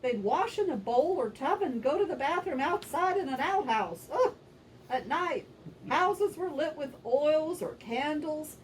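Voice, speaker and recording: High, animated woman in a puppet show. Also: a little slow. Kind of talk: scripted puppet show narration. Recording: distant microphone, faint background noise.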